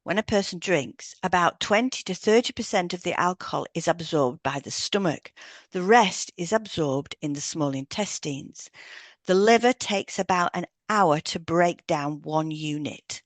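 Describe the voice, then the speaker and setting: Lowish-voiced woman reading an educational podcast. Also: animated, a little slow, no echo. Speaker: podcast co-host, hypnotherapist. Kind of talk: scripted podcast narration, read aloud.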